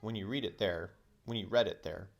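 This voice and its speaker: Low, creaky-voiced man narrating a storybook. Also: fairly quick. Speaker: teacher reading a book aloud.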